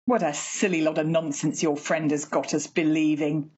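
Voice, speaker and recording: A woman playing a fussy, chatty working-class mother in a radio play, homely and expressive. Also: low voice, moderate pace, husky, dull audio. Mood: curious, chatty.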